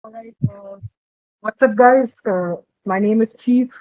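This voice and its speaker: A young man from India talking casually on a podcast in a relaxed way, with many pauses and fillers. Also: sits high, narrow, tinny audio. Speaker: podcast co-host. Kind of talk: casual chat.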